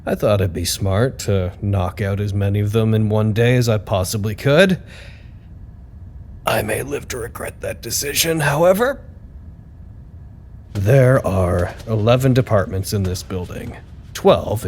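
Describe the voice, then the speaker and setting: An actor playing a weary, earnest staffer who dictates a dry, self-deprecating work log in an audio drama. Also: male voice, fairly low pitch, a little slow, a little mellow, a little hiss or hum. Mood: wry, weary.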